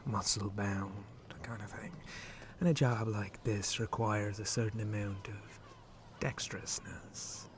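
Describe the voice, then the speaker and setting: A man playing a flirty, roguish shark-man pirate captain in an ASMR roleplay, with a breathy, often whispered voice and teasing pirate speech. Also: background noise. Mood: instructive, roguish. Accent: put-on pirate speech. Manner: slow, teasing, drawling pirate talk with low chuckles. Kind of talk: ASMR roleplay addressed to the listener.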